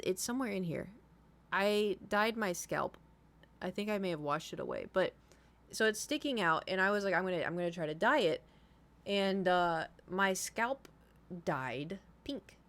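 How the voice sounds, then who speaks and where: A woman's voice: fairly low pitch, a bit bright. A woman in her thirties chatting casually to livestream viewers, rambling informally, swearing freely and repeating herself for emphasis. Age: 30s. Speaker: livestream host.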